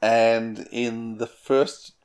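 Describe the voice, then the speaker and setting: A man's voice: medium pitch, flat delivery, drawn-out words, darkish tone. A man interviewing a guest in a casual, conversational and slightly hesitant way. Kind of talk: casual interview questions and commentary.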